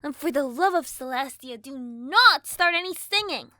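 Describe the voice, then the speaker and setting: A woman's voice: sits high, lively intonation, moderate pace, noisy background, voice pushed up. A woman voicing a bratty, smug little girl pegasus, exasperated and snippy, in a cartoon fan fiction reading.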